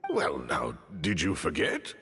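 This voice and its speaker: A man's voice: rumbling low, huge pitch swings, slow pace, fairly bright tone, soft background music, heavily acted. A man voicing a courteous, composed attendant in a video game, with formal, deferential manners. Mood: calm, deferential. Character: courteous, composed male attendant to a young lady.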